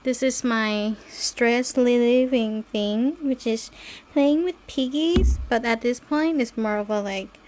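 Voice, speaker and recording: Slow, high-pitched woman chatting casually. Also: a little mellow. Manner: non-native English phrasing. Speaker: podcast host. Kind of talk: casual rambling chat, with baby talk to pets.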